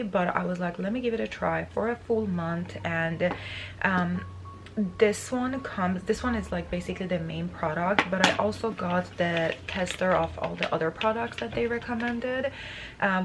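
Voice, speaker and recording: A woman in her thirties, raspy from just waking up, chatting to camera in her bedroom with many fillers and restarts. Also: lowish voice, slight background noise. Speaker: beauty vlogger. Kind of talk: casual talk to camera. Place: bedroom, indoors.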